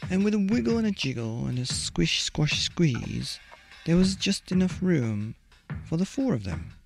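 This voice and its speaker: A man narrating a children's storybook in a theatrical, deliberate way as a bedtime podcast host. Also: fairly high pitch, very animated, gravelly, soft background music.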